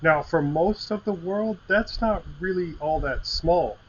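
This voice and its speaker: A man from Iowa co-hosting a podcast, telling stories in a measured, dry way. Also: a little high, dull audio, slight background noise. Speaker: podcast co-host. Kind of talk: casual chat.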